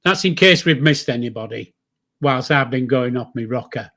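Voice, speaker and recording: An older man from East Yorkshire with a softened Yorkshire accent, chatting and rambling on a livestream with a friend. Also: mid-range voice, expressive, normal speed, a little hiss or hum. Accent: Yorkshire, softened by years in the south. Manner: chatty, rambling, laughs often, reads out viewer names. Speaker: livestream co-host. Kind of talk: casual livestream chat.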